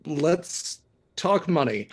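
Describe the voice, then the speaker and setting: A man's voice: fairly high pitch, animated, very slow, husky. A man, a guest reader, talking in casual banter.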